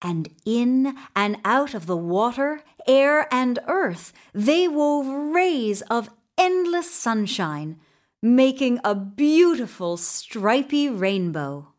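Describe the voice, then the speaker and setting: Very slow, high-voiced woman storyteller. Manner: expressive, deliberate, dramatic pauses for young listeners. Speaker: storyteller reading a children's book. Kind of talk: scripted children's story narration.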